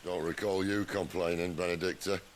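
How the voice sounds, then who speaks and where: A man playing a gruff, wry middle-aged outlaw leader in a video game, gravelly and sardonic in a plain-spoken way. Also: deep voice, fairly even intonation, normal speed, a little hiss or hum, lightly acted. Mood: defiant, sardonic. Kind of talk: dramatic video game acting.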